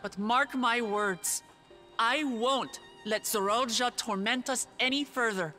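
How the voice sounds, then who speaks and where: Young warrior queen giving a solemn speech, highish bright voice, game cutscene with music behind. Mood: resolute. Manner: solemn, earnest, measured public oratory. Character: resolute young female ruler rallying her grieving people. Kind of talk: dramatic video game cutscene acting. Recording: background music under the voice.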